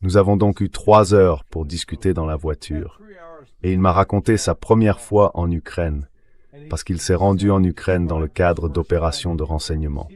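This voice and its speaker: A man's voice: deep voice, fairly even intonation, normal speed, a little mellow. A man giving political commentary as a guest, in a translated voice-over read by a synthetic voice.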